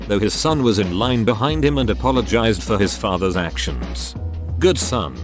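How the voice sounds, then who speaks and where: A man reading forum posts aloud as a narrator, even and methodical, spelling out abbreviations letter by letter. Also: lowish voice. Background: soft background music.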